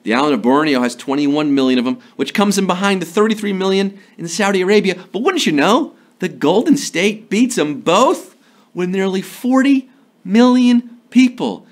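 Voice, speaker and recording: A man in his thirties hosting a live trivia show from a studio, playful and jokey, a little hoarse from a sore throat. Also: high-pitched, animated. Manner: playful, jokey, slips into quick impressions and sung bits. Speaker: trivia game show host. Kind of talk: upbeat live quiz hosting. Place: studio before a green screen.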